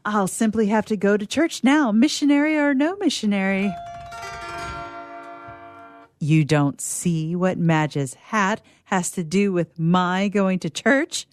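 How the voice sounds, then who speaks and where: A woman's voice: mid-range voice, expressive, takes it slowly, faint music behind. A young actress playing a petulant, self-absorbed young woman, complaining theatrically to her mother in an old-fashioned radio theatre monologue. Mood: huffy, vain.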